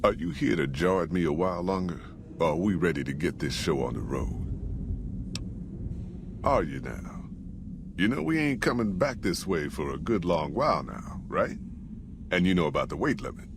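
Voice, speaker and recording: A weathered old trail boss from a video game, speaking very low in an American Western drawl. He is gruff, folksy and plainspoken, a born storyteller of the wasteland. Faint noise sits behind the voice. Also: big pitch swings, moderate pace, long pauses, exaggerated voice. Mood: brisk, teasing. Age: older. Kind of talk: video game character dialogue and narration.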